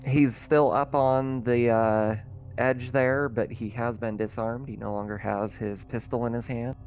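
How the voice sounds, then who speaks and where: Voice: medium pitch, steady tone, a little slow, darkish tone, a little hiss or hum. A game master explaining rules and outcomes conversationally while running a tabletop roleplaying game.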